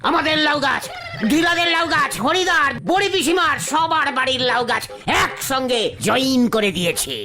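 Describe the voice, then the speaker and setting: A woman voicing a boastful, scheming male cartoon prankster who talks excitably, repeats words and mangles English. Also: high-pitched, normal speed, slight background noise. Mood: boastful, excited. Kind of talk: comic cartoon dubbing in Bengali.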